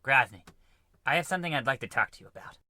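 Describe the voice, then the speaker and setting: An actor playing a put-upon, fussy science officer who defers and protests, in a scripted radio comedy. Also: male voice, mid-range voice, animated, fast, bright, forceful tone, a little hiss or hum. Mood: conspiratorial. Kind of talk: scripted old-time radio comedy acting.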